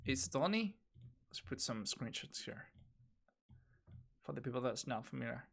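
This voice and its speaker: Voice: medium pitch, moderate pace, gravelly, breathy edge. A streamer who is a non-native English speaker, chatting casually and hesitantly while playing a game. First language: not English. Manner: relaxed, hesitant with fillers.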